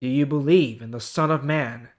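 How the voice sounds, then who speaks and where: A man voicing a calm, authoritative male teacher in a measured, solemn Bible story reading. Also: mid-range voice, close to an everyday voice. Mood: calm authority.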